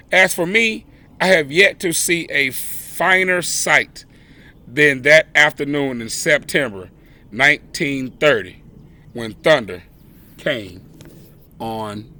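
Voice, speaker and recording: A man reading a children's picture book aloud as a storyteller, with dramatic, deliberate phrasing. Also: on the high side, sharp tone.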